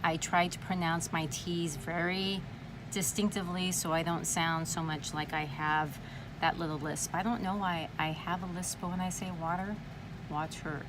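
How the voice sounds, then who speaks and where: Chatty Californian woman with a slight lisp. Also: on the low side. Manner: chatty, dramatic, swears freely. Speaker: vlogger. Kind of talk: casual talk to camera. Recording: noisy background.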